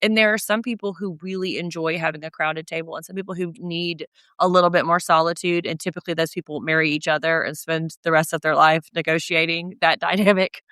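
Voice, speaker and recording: Brief: podcast co-host, woman, slightly deep voice, dry close mic, wry and animated. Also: a little fast, few pauses, clean tone, no room sound. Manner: chatty, sardonic, animated asides. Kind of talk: casual recap chat.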